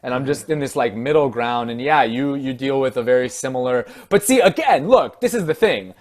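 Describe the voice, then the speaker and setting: A young man from California hosting a casual conversation, relaxed and full of fillers like "you know". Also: mid-range voice, fairly quick. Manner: relaxed, filler-heavy, mimics others. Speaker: podcast host.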